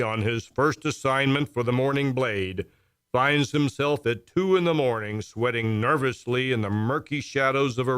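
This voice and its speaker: A ghoulish punning horror host. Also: male voice, on the low side, a bit bright, no room sound, clean studio sound. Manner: punning, creepy and teasing. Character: ghoulish pun-loving male horror host. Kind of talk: old-time radio drama.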